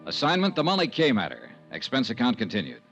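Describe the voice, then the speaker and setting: An American actor playing a wisecracking, world-weary tough insurance investigator in a 1950s radio detective drama, hard-boiled and dryly flippant. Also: male voice, medium pitch, wildly swooping pitch, fast, highs cut off. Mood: brooding narration. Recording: vintage radio recording with music behind.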